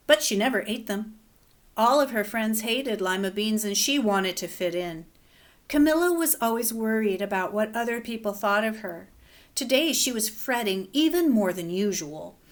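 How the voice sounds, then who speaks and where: A woman teacher reading a children's picture book aloud, relaxed and gently instructive. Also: mid-range voice, moderate pace.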